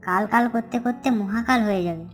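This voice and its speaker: Woman as a scolding mother, exasperated, slightly high voice, cartoon. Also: fairly quick, soft background music, lightly acted. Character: worried scolding village mother. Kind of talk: cartoon voice acting.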